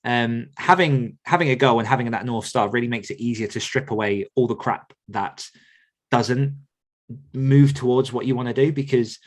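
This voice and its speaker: A man's voice: medium pitch, moderate pace. A man answering questions conversationally as an author coach, reflective and earnest, often circling back to rephrase himself.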